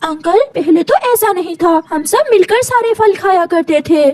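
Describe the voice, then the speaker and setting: A woman's voice: very high-pitched, moderate pace, darkish tone, pitched above the performer's own voice. A woman voicing a small, innocent, plaintive young bird in a cartoon. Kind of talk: cartoon character acting.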